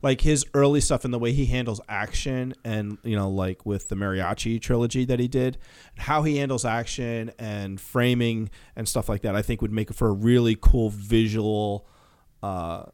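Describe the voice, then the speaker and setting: A man's voice: fairly low pitch, normal speed, darkish tone, clean tone, no echo, studio quality. A man co-hosting a podcast, casually discussing film news. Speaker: podcast co-host.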